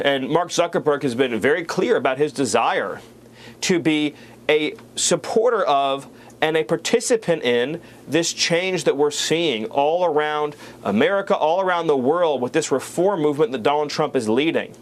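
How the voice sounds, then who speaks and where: A man answers interview questions as a political spokesperson. His voice sits a little high. He talks rhetorically, piling up phrases and repeating words for emphasis. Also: animated, moderate pace.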